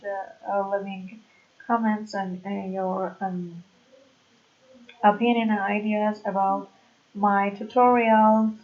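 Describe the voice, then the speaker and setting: A woman from Iran, whose first language is Persian, teaching a programming tutorial in slow, hesitant English full of fillers. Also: mid-range voice, phone-quality sound. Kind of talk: screen-recorded coding tutorial.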